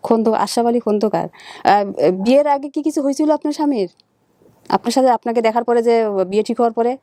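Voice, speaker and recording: A woman with a Bangladeshi accent, a show guest, asking probing questions in casual, halting conversation. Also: a little high, warm tone, dry recording.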